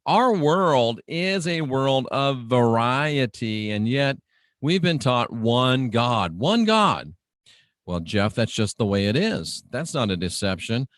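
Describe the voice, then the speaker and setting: Slow, deliberate preaching man on radio. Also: mid-range voice, very animated, a bit bright, dry recording, clean studio sound. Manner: emphatic, deliberate, repeats phrases with dramatic pauses; showman-like, rhetorical questions to listeners. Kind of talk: dramatic sermon-like radio monologue.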